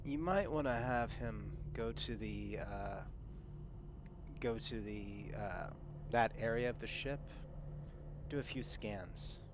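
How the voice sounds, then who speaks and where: A man's voice: lowish voice, slow, pauses often. A man playing a tabletop game, speaking casually and politely as he searches for his words. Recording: clearly noisy background.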